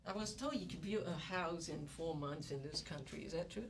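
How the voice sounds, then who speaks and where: A woman's voice: low-pitched, a little fast. A woman from the Malaysia and Singapore area teaching economics in a classroom, chatty and given to acting out little scenes. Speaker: economics lecturer. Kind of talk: classroom lecture. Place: university classroom. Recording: distant classroom recording with faint noise.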